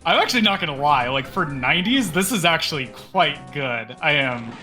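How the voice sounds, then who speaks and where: Upbeat male co-commentator, bright and slightly high voice, explaining gameplay casually. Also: expressive, moderate pace. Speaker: co-commentator at a speedrun marathon. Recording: game music behind the voice.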